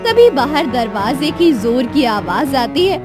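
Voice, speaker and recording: Slow, high-voiced woman narrator. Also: sing-song, music in the background. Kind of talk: scripted story narration.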